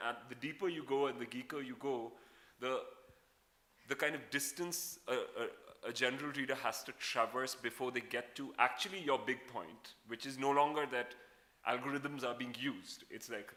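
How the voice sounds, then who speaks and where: A man's voice: medium pitch, bright, forceful tone. Man moderating a panel with winding questions. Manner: long, winding questions with restarts. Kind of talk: conference panel discussion.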